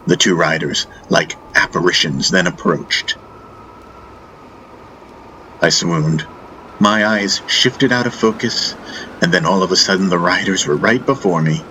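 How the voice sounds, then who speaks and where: A man reading a first-person fantasy audiobook as narrator, in a solemn, deliberate, dramatic style. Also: low-pitched, pushed and bright, slight background noise, rough recording. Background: faint music.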